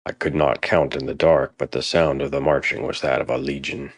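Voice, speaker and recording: A grave Norse war leader, acted by a man in an audio drama. He speaks extremely low with a gravelly edge. His delivery is solemn and resolute, recorded dry. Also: steady tone, fairly quick, no room sound. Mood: grim. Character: grave, steadfast male Norse warrior leader.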